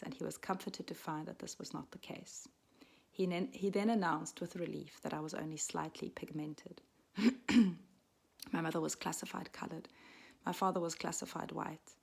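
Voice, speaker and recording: Woman in her 40s telling a personal story, low husky voice, lots of fry, quiet and reflective. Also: steady tone. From Switzerland. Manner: soft, slow, reflective, often half-whispered. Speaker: midwife and storyteller. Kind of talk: personal story read aloud.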